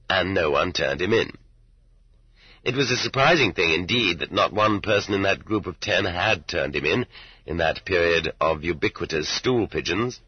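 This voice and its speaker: A man reading literary prose as an audiobook narrator, in a measured yet expressive storytelling manner. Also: sits low, husky. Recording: dull lo-fi roomy cassette audiobook.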